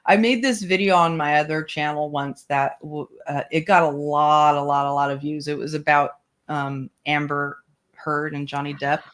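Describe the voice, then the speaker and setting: A woman with a slightly low voice hosts a casual online book club stream. She rambles in an animated way and laughs often, chatting through a home streaming mic. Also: normal speed. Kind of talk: casual livestream chat.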